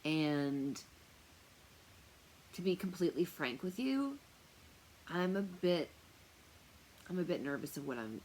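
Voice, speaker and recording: A woman talking casually to camera as a tarot reader, chatty and rambling with lots of fillers, with a loud, projecting voice. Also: deep voice, lively intonation, slow, pauses often, a little hiss or hum, low-quality recording. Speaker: tarot reader and remote viewer. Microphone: noise-canceling earphones on.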